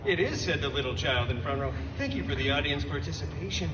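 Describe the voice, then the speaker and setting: A sarcastic masked mercenary cracks jokes to a live audience. His voice is high and bounces all over the place. He is heard from a distance on an echoey outdoor stage with music playing behind. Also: background noise. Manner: sarcastic, animated, fourth-wall jokes. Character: wisecracking masked male mercenary storyteller. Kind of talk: live theme-park comedy show. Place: outdoor theme-park stage. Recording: roomy outdoor stage sound from far off, music.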